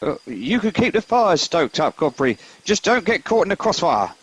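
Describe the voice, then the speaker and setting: Bloke doing an old army veteran, excitable, really high voice, comedy radio. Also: male voice, moderate pace, breathy edge, full character voice, rough recording. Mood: eager. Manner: keen, earnest, blustering. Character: eager, excitable old male veteran soldier. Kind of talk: comedy radio play acting.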